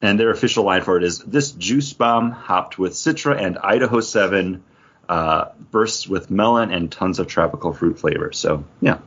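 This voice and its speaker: A man's voice: sits low, normal speed, fairly bright tone, breathy edge, muffled recording. A man hosting a podcast, talking casually about comics and beer in a conversational way. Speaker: podcast host.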